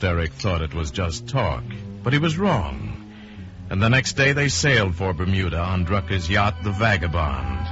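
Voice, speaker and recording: A very deep-voiced man tells a suspense story in deliberate, theatrical radio style. The old recording is muffled, with music underneath. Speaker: storyteller narrator. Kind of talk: mid-century radio drama narration. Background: dramatic music.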